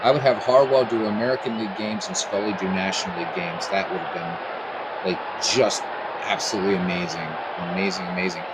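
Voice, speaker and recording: A man's voice: low voice, steady tone, fairly quick. A man chatting casually and rambling over his gameplay, with many restarts. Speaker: gameplay channel host. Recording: loud game crowd and noise underneath. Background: loud crowd ambience from the game.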